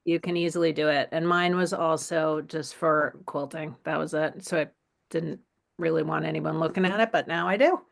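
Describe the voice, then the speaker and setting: A woman with a lowish voice and plenty of vocal fry speaks casually as a participant in a group video call. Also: steady tone, moderate pace. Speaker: sew-along participant.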